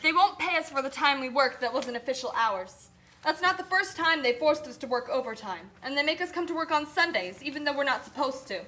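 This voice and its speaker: A woman's voice: sits high, lively intonation, brisk, pushed and bright. A woman voicing an indignant, put-upon factory worker and mother in an amateur puppet show, earnest and pleading. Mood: resolute complaint.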